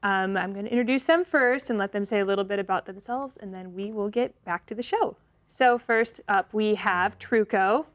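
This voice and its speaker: A woman's voice: mid-range voice, normal speed. Chatty American woman hosting. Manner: chatty, informal. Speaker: host. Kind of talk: casual showcase hosting.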